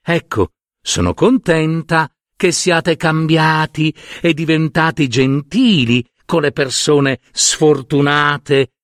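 A man voicing a solemn old woman spirit in a fairy tale, gently admonishing in a measured, deliberate way. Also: on the high side, fairly even intonation, no echo, clean studio sound. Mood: kindly, solemn.

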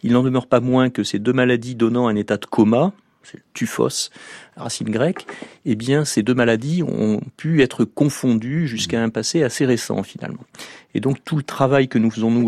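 A man speaking as a guest microbiologist in a radio interview, explaining things didactically and step by step in a measured way. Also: medium pitch, a little mellow, no room sound, studio quality. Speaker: medical researcher, microbiologist, guest expert.

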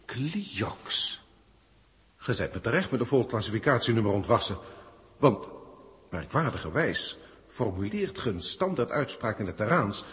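Long hall reverb, pompous alien scholar, highly animated intonation, formal stilted diction, vintage radio drama. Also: male voice, measured pace. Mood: astonished, musing. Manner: stilted, elevated, officialese diction. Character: pompous alien senior scientist, exasperated, pedantic, formal. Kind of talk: 1960s Dutch science-fiction radio play acting. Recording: 1960s radio recording, long cavernous reverb.

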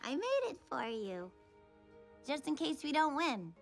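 A woman voicing a bossy, hyper little girl in an animated film, squeaky and commanding. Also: a little slow, gravelly, faint music behind, full character voice. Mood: bossy excited.

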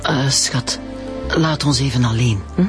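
A woman's voice: extremely low pitch, a little slow, over music. A woman acting in a Flemish radio drama as an older retired teacher, polite, anxious and hesitant.

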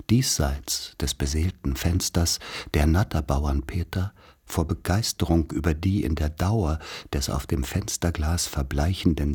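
A man's voice: very deep voice, darkish tone, slightly rough, exaggerated voice. A man narrating a literary radio play as someone retelling his village boyhood in a solemn, declamatory way.

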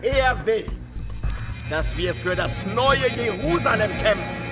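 An actor playing a fiery, fanatical street prophet in a radio drama, declaiming apocalyptic visions with exalted fervour and dramatic pauses. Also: male voice, high-pitched, expressive, over music. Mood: scornful, fervent.

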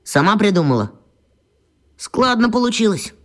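A wry, skeptical young schoolboy teases his friends in a children's radio play, played by a woman. The voice is mid-pitched, with a strongly swinging, animated melody. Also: moderate pace, close to an everyday voice. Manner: skeptical, teasing, lively intonation.

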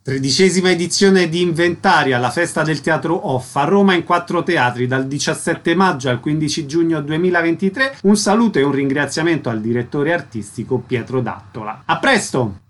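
Higher-pitched man hosting a radio interview. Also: moderate pace, hardly any pauses, fairly bright tone. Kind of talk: prepared radio interview questions and announcements.

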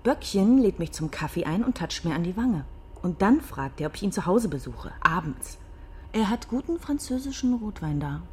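A woman's voice: mid-range voice, very animated, normal speed, breathy edge. An actress playing a wry, teasing, guarded woman with a dry manner in a radio crime drama.

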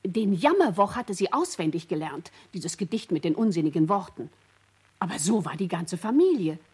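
A woman's voice: fairly low pitch, big pitch swings, normal speed, a little mellow, slightly rough, exaggerated voice. A woman playing a concerned schoolteacher who recalls her pupil in reflective testimony in a radio play. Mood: reflective, troubled.

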